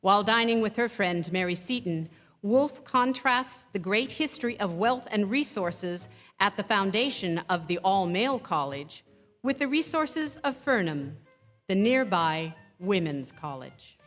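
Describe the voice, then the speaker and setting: A woman presenting a stage tribute, reading a scripted introduction in a formal, measured, literary way. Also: mid-range voice, expressive, fairly bright tone. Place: on stage in a theatre. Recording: echoey theatre hall, music.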